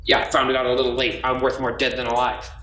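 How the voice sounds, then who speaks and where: A man's voice: mid-range voice, monotone, fast, bright, forceful tone, a little hiss or hum, lightly acted. Man acting a grumbling folksy fellow, echoey and distant. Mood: despairing, irritable. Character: despairing, irritable small-town family man, slangy and sarcastic. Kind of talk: amateur audio-only radio play. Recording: echoey, distant mic with music behind.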